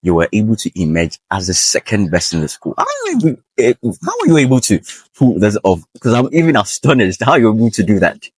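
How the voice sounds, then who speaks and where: A man's voice: on the low side, huge pitch swings, brisk, few pauses, husky, dry recording. A man hosting an interview podcast, formal and lavish with praise for his guest. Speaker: podcast host.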